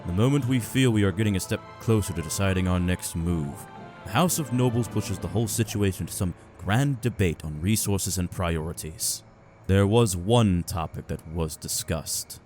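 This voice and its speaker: A man playing a weary, guarded military spymaster in a fantasy audio drama, speaking in a measured, calculating way. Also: sits low, a little mellow, faint music behind, close to an everyday voice. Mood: frustrated.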